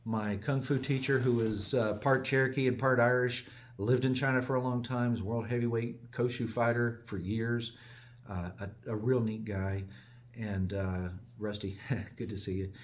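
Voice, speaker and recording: A man's voice: medium pitch, a little hiss or hum. A man from the United States, a small business owner, giving a casual, digressive update that often corrects itself and chuckles along the way. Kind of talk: casual rambling monologue.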